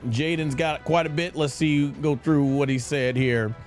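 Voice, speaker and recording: A man's voice: fairly high pitch, steady tone, measured pace, darkish tone, faint music behind. A man in his thirties hosting a gaming livestream, chatting casually and explaining his opinions at length. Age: 30s. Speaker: streamer and host of a live gaming show. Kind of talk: casual livestream chat answering viewer questions.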